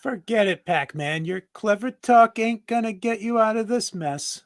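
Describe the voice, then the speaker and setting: A man playing a gruff, scornful cartoon ghost gang boss, sneering and tough-talking in a table read. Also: sits high, animated, moderate pace. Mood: menacing.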